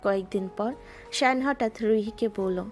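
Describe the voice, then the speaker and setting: A woman narrating a love story in a soft, even manner, her voice at a middle pitch. Gentle music plays underneath throughout. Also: moderate pace.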